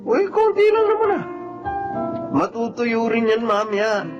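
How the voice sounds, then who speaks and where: An actor playing a cheeky, bluntly mocking coworker with a Filipino accent in a TV drama. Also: male voice, extremely high pitch, big pitch swings, a little slow, full character voice. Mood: teasing, mocking. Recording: dull sound under loud guitar music.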